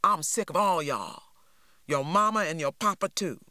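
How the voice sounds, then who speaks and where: A resentful, sulking teenage boy from the rural South, as a reader puts him on grumbles in a rough drawl. The storybook read sounds close and dry, with lively swings of pitch. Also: moderate pace, no room sound. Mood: sulky.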